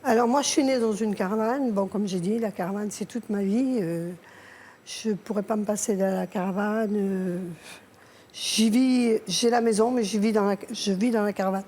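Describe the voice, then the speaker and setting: A woman's voice: medium pitch, steady tone, normal speed, dark tone, slight background noise. A woman from Alsace, a talk show guest, giving short plain answers. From Alsace, France. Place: television studio.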